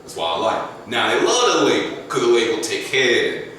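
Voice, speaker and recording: A young adult man, a rapper guest, talking casually and slangily on a podcast, his voice jumping up and getting loud when he gets animated. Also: brisk. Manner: laid-back, slangy, climbs high and loud when animated. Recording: echoey room, distant mic, faint noise.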